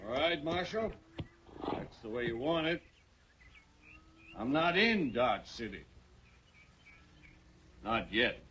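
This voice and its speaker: An actor playing a sly, sullen gunslinger in a 1950s radio western, stammering with false friendliness. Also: male voice, medium pitch, big pitch swings, slow pace, pauses often, a bit bright, slight background noise. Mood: sullen threat. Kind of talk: 1950s radio drama acting. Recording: muffled old radio broadcast.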